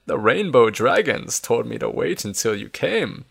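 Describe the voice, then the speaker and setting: A man voicing a tiny ancient hermit from a storybook, faltering, excitable and forgetful. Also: medium pitch, creaky, husky, full character voice. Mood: wistful. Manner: excitable, halting.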